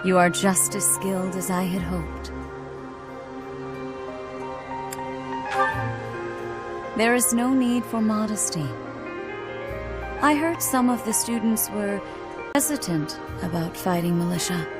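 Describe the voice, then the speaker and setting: A woman's voice: medium pitch, expressive, a little slow, lightly acted. A woman playing a serene, pious and stern archbishop in a video game, gracious, formal and solemn in manner. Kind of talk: scripted video game voice acting. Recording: new-age music behind the voice. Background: gentle game soundtrack music.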